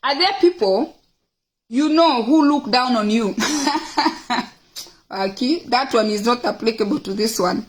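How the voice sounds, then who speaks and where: High-pitched Kenyan woman, emphatic talker. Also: moderate pace, slight background noise. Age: middle-aged. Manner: emphatic, repeats phrases for stress. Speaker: host of a personal livestream. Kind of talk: casual, opinionated talk to viewers, reading out questions.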